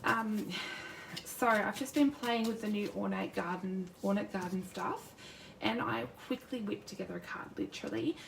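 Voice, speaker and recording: A woman with a croaky sore throat chatting casually and rambling as she demonstrates crafting live, greeting viewers by name. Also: medium pitch, moderate pace, slight background noise. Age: adult with a son. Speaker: crafting demonstrator, youth worker. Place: home craft desk. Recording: roomy distant mic at a craft desk.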